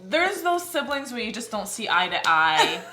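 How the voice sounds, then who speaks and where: A woman's voice: a little high, sing-song, moderate pace, roomy sound, a crowd in the background. A woman in her twenties chatting with her sisters, dry and wisecracking. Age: 20s. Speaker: guest sister. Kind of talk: casual chat.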